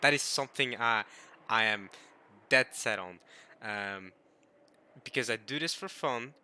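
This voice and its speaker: A man's voice: medium pitch, a little slow, sharp tone, clear, resonant tone. A young man casually rambling to his viewers as a video creator between readings. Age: young adult. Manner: rambling, babbling.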